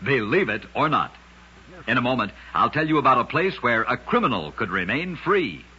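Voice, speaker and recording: A man narrating scripted oddities as an old-time radio host, with a dramatic, emphatic delivery and deliberate pauses. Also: medium pitch, very animated, pushed and bright, husky. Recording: dull, lo-fi old radio recording.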